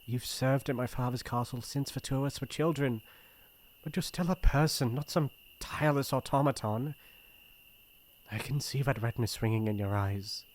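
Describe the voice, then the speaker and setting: A man with a British accent playing a shy, earnest young crown prince in an ASMR roleplay, speaking in formal, hesitant, gentle phrases. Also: medium pitch, normal speed, a little hiss or hum, natural voice. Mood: tender concern. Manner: formal courtly phrasing, hesitant and soft-hearted.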